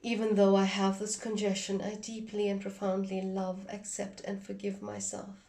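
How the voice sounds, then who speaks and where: A woman giving an instructional demonstration in a measured, deliberate way, phrase by phrase. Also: mid-range voice, monotone, warm tone, far from the mic. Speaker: instructor demonstrating a tapping technique.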